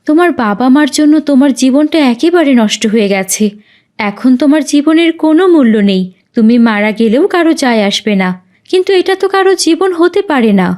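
A woman's voice: sits high, a little mellow. A woman voicing an earnest, preachy young aunt in a cartoon. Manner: earnest, admonishing. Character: kindly, preachy young aunt helping street children. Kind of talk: scripted cartoon dialogue.

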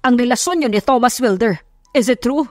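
A woman's voice: fairly high pitch, a little fast, a little mellow, no echo, studio quality, natural voice. Woman acting a confrontational young businessman. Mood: tense, angry. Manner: confrontational, mixes in English. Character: wealthy young businessman, suspicious, demanding boyfriend. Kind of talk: Tagalog audio drama acting.

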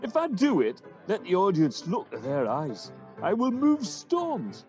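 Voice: medium pitch, wildly swooping pitch, unhurried, a little mellow, soft background music. An actor playing a bumptious, eager weaver and amateur player in a Shakespearean radio play, pompous and theatrical in delivery. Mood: boastful.

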